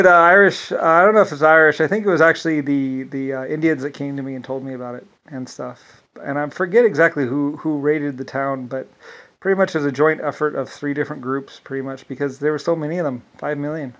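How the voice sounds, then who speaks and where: Brief: male vlogger, higher-pitched, rambling delivery full of restarts and asides. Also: a bit bright, low-quality recording. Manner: meandering, restarts often, many "anyway" asides. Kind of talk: rambling solo monologue.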